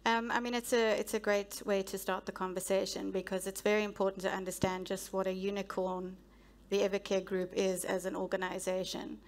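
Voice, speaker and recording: A South African woman in her thirties, a procurement head answering interview questions on a conference stage in a measured, businesslike way with frequent ums, through a headset mic. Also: medium pitch, little pitch movement. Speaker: guest speaker, head of procurement. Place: stage of a large conference hall.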